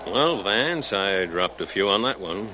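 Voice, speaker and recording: An actor playing a cagey racetrack bookmaker in a 1940s radio crime drama. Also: male voice, lowish voice, lively intonation, measured pace. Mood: casual. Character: cagey, slow-talking male racetrack bookmaker. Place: radio studio. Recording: noisy vintage radio recording.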